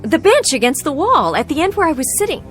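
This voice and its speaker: A woman's voice: sits high, big pitch swings, fast talker, fairly bright tone, soft background music. An actress playing an anxious, bewildered young career woman in a radio drama, polite but insistent, with hesitant, stammering speech. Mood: disbelieving, agitated. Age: young adult.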